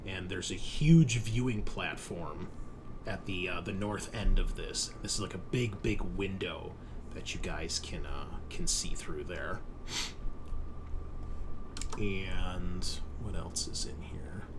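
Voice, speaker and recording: A man's voice: low voice, slow, background noise. A man acting as game master, casually narrating a tabletop roleplaying game.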